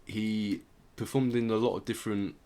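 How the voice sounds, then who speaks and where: A man hosting a podcast, informally explaining music history in a halting way as he searches for words. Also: on the low side, steady tone, dark tone. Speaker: podcast host.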